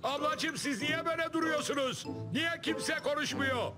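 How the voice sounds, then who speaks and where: A man voicing a dopey, easily frightened cartoon elephant, naive and excitable in manner. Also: extremely high pitch, moderate pace. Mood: startled, alarmed. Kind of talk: cartoon voice acting. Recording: loud music under dialogue.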